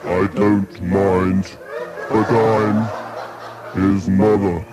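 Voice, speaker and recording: A man voicing a mother whose voice has gone comically deep, talking very slowly, in a radio comedy sketch. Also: little pitch movement, loud music behind the voice, over a noisy crowd. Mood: deadpan-comic. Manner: very slow, rumbling, laughing. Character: mother with a comically deepened booming voice.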